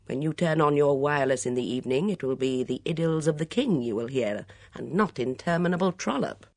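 A woman's voice: low-pitched, lively intonation, fairly quick, a little mellow, no room sound, clean studio sound. A woman voicing a glib, teasing young man in love, with flowery, mock-formal speech in an audiobook story. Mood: airy, pompous.

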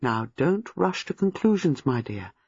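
Woman doing a kindly old spinster, low, husky, soothing, measured, shrewd, storybook character. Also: sing-song, darkish tone, dry recording, muffled recording, full character voice. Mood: gentle prompting. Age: older.

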